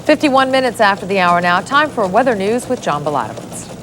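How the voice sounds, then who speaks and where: A woman anchoring a television news bulletin, reading the headlines in a crisp, measured way. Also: medium pitch, big pitch swings, noisy background.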